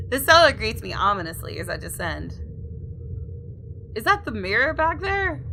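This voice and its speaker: A woman's voice: mid-range voice, pushed and bright, low-quality recording. A woman gaming YouTuber reading a visual novel aloud expressively and adding casual commentary.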